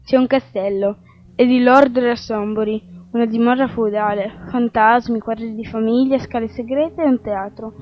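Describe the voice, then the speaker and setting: A woman playing a patient, flattering male opera agent in a radio drama, speaking in a placating, persuasive way. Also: a little high, normal speed, soft background music, natural voice. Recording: dull, lo-fi radio drama sound.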